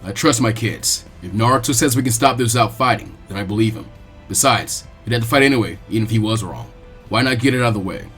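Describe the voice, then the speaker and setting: A calm senior ninja leader, as a man reads him in a story. His voice sits low and sounds measured and assured. Faint music plays underneath. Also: close to an everyday voice. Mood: firm, assured. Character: calm adult male ninja team leader.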